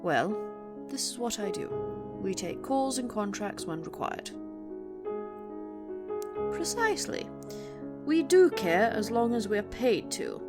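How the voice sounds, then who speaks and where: A woman playing an imperious, centuries-old but young-looking female vampire, speaking in a formal, measured, haughty way in an audio roleplay. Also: medium pitch, big pitch swings. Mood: composed, aloof. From England. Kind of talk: audio roleplay monologue to the listener. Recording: music under the voice. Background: plucked guitar music.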